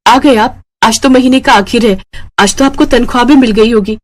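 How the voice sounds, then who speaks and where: A woman voicing a caring, supportive wife in an animated moral story, speaking earnestly and soothingly. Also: on the high side, normal speed, pitched above the performer's own voice, natural voice. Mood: expectant.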